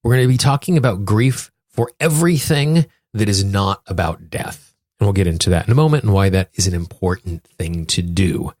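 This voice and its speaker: A man's voice: sits low, fairly quick, darkish tone, dry recording. An American man hosting a solo podcast, explaining things and speaking directly to his listeners. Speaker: podcast host.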